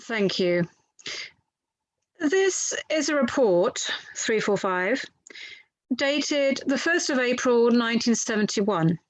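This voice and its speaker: A woman reads a polite lawyer questioning a witness at a formal inquiry. The voice is on the high side and recorded very dry. The delivery is methodical and slightly halting, like reading from a transcript. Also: animated, no echo, rough recording.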